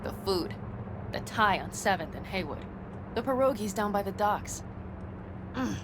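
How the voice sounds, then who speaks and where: A woman's voice: medium pitch, expressive, unhurried, background noise, natural voice. A woman playing a tough but tender, loyal nomad in a video game, blunt and affectionate in a naturalistic style. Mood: tender, teasing. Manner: blunt, casually profane, affectionate.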